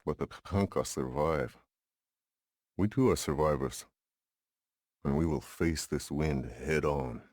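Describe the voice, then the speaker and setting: An actor playing a wise, resolute older Lakota uncle in a video game, speaking gravely and deliberately. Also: male voice, rumbling low, darkish tone, no room sound, studio quality. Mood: wise, steady. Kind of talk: scripted game cutscene acting.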